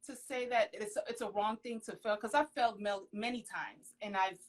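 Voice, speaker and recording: A woman's voice: mid-range voice, normal speed. A young woman of Haitian background whose first language was Creole, talking earnestly and emphatically as a guest on a casual live interview. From Haitian household, raised in the US. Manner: emphatic, earnest, exclaims often. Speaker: professional model, interview guest. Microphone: phone on a video call.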